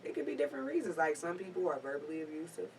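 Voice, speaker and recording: A woman in her twenties speaking candidly in a casual panel chat, laid-back with drawn-out phrasing. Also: fairly low pitch, big pitch swings, a little mellow, far from the mic, slight background noise. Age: 20s. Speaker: guest panelist.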